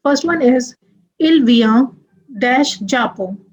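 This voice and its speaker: A young woman teaching French grammar, moving deliberately through examples and spelling out letters one by one. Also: sits high, expressive, muffled recording. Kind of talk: grammar lesson.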